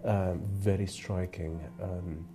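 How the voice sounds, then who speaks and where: A man from Iraq, a photographer serving on a jury, giving thoughtful, halting interview answers with many fillers and restarts. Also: deep voice, level tone, noisy background.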